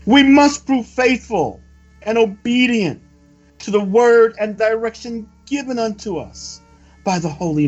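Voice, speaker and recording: A man delivers a solemn, scripted religious address in formal, measured phrases. His fairly high voice swings widely in pitch as he speaks slowly. The recording is dull and lo-fi. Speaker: religious reader delivering a prophecy report. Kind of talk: sermon-like scripted reading. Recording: dull lo-fi recording with music behind. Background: music.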